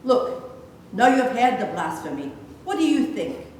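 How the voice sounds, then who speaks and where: A woman's voice: on the high side, very animated, normal speed, a little mellow. A woman reading several parts in a dramatised Bible reading. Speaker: scripture reader of several minor parts. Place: large reverberant church. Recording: very echoey church, distant mic, faint noise.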